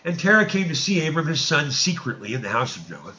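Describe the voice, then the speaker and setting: A man narrating archaic scripture as a dramatic reading, deliberate and theatrical, leaning on drawn-out, emphatic phrases. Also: on the high side, very animated, bright, forceful tone, dull audio.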